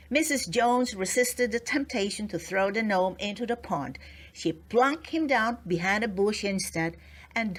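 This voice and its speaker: A woman narrating a children's storybook in a scripted read-aloud style. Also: a little high.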